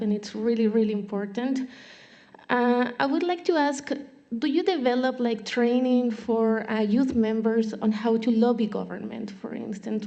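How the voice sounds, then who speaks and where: A woman from Chile asking a conference panel a polite, earnest question from the audience, with some halting restarts. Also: a little high, warm tone, highs cut off. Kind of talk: formal question from the floor.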